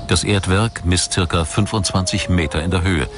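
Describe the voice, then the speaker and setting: A male documentary narrator with a low voice reads from a script. His delivery is measured and articulate, and there is clearly audible ambient noise behind him. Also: steady tone.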